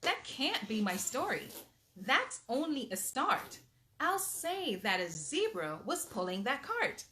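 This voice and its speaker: Woman, high voice, unhurried pace, expressive children's read-aloud, patient parental manner, some background noise. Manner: animated, patient parental asides. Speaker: storytime host reading aloud to children.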